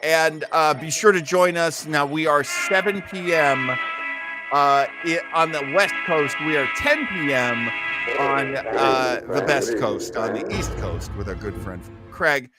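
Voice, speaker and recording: A man's voice: a little high, takes it slowly, over loud music. A man hosting an old-time radio listening show in a casual, chatty, enthusiastic way.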